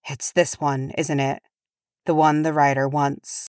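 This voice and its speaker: A woman voicing a regal old dwarf king and grandfather, gentle, stately and soft-spoken, in a storybook reading. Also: deep voice, little pitch movement, unhurried, no room sound, clean studio sound. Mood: soft, probing.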